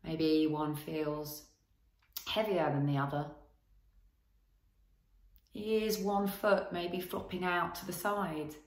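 A woman guides a body scan meditation at a very unhurried pace. Her voice sits low, and she gives gentle instructions in short phrases, leaving long pauses between them. The sound is noticeably roomy, with an echo around the voice. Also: fairly even intonation. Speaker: guided relaxation and movement teacher.

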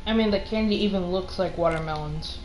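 A woman's voice: on the low side, brisk, a little mellow. A woman co-hosting a game livestream, bantering casually in a teasing, jokey way. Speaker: game stream co-host. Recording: roomy echo, game music behind.

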